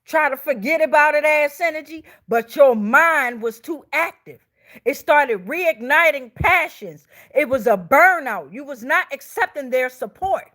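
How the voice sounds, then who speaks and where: A woman with an American accent and a fairly high voice hosts a tarot reading. She speaks informally and bluntly, swearing freely and stressing her points. She keeps checking that the listener is following along. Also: animated, measured pace, fairly bright tone. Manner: blunt, foul-mouthed, emphatic; checks in with listeners with repeated tag questions. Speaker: host and tarot reader.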